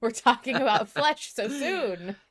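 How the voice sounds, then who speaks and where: A woman's voice: on the high side, lively intonation, measured pace, fairly bright tone. A woman co-hosting a podcast, chatting casually as a fan in an emphatic, gushing, dramatic way. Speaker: podcast co-host.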